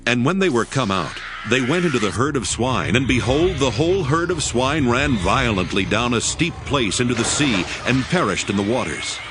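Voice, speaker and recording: A man with a mid-pitched, very expressive voice narrates scripture. His storytelling is formal and solemn, with clear music playing behind him. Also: moderate pace, few pauses.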